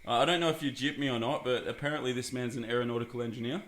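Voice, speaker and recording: A man's voice: mid-range voice, fairly even intonation, rapid-fire, a bit bright. A man hosts a casual podcast, joking and rambling with lots of fillers. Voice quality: husky. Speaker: podcast host. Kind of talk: casual chat.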